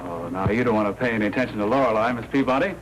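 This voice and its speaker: An American actor playing a sardonic, slangy, wisecracking police reporter in a 1940s crime film. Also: male voice, fairly low pitch, racing, lightly acted. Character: wisecracking, teasing male big-city newspaper police reporter. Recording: noisy old 1940s film soundtrack.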